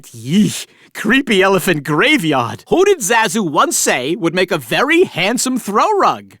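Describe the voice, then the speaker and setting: A man's voice: sits high, a bit bright, dry recording. A cocky little meerkat hosts a video game quiz, cracking jokes and cackling at his own gags. His voice swoops and bounces all over the place. It is recorded dry and close in a studio. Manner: jokey, chatty, laughs at own jokes. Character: wisecracking male meerkat quiz host. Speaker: quiz host.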